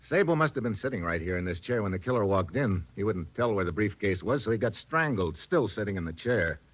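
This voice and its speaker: A tough American adventurer with a lowish voice. He is curt, sardonic and hard-boiled, delivered in the manner of 1940s radio drama. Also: animated, a little fast. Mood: curt, commanding. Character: tough, wisecracking American male adventurer and investigator.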